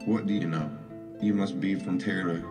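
A man's voice: low-pitched, steady tone, moderate pace, over music, close to an everyday voice. A man playing a brash, confident young adventurer in a fantasy game fan dub, talking casually. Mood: indignant.

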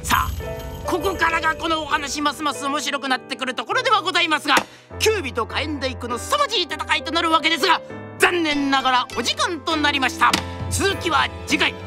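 A woman's voice: high-pitched, lively intonation, fairly quick. A woman narrating in a theatrical Japanese kodan storytelling style, with dramatic delivery and formal, polite phrasing. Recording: music behind the voice.

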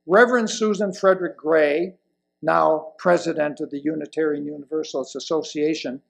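Elderly male lay preacher: gravelly, unhurried delivery, deliberate emphasis and pauses. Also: on the high side. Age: older, around 70s or 80s. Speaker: lay presenter reading a sermon. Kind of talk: sermon read from a pulpit. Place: indoors at a wooden lectern in a church hall. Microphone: lapel mic.

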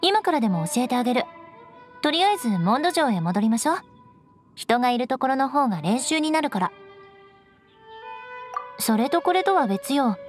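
A woman's voice: high-pitched, sing-song, moderate pace, long pauses. A woman voicing a proud, aloof young noblewoman knight in an anime game, with composed, elegant, haughty phrasing. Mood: businesslike. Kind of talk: Japanese anime game voice acting. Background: orchestral game music with strings.

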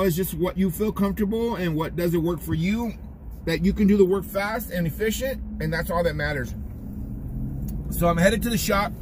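Rambling middle-aged man in a noisy car. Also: high voice. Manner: rambling, repeats words and restarts often. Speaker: barber vlogger. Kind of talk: casual vlog talk. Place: parked car. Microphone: phone camera on the dashboard.

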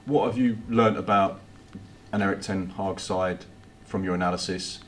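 Male reporter, lowish voice, formal questions, faint room hiss. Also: fairly even intonation, moderate pace. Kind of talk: press conference questions.

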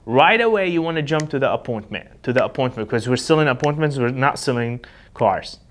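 A man's voice: medium pitch, animated, fairly quick. Man giving an emphatic sales training talk. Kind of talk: instructional talk with acted example dialogues. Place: indoor studio with backdrop.

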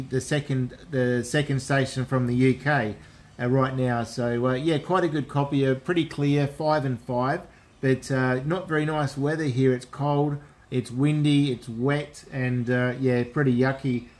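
An Australian man talks as an amateur radio operator in a casual, matey way. His voice sits at an average pitch, and he drops in radio call phrases as he goes. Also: fairly even intonation, unhurried. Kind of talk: casual ham radio contact. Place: indoors at a radio set.

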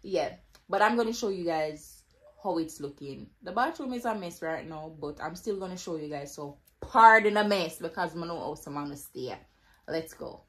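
A woman, chatting casually to camera at home in a relaxed, laughing way, filmed on a phone. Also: mid-range voice. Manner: relaxed, laughing, slow and easygoing. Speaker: vlogger. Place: bedroom and bathroom of a home. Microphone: phone camera held close.

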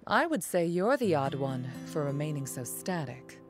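A woman's voice: low-pitched, sing-song, normal speed, music in the background. A woman playing a stern, commanding military officer in a video game cutscene, speaking formally and imperiously. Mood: cool, disdainful.

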